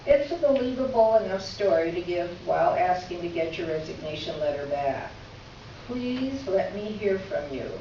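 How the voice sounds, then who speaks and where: A woman's voice: fairly low pitch, expressive, normal speed, mellow. A woman playing a gracious, wry letter writer in a staged reading, speaking in formal, articulate phrases. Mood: gracious, earnest. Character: witty, gracious woman writing a candid letter. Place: echoey indoor room, recorded from afar. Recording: echoey room, distant mic, muffled, faint noise.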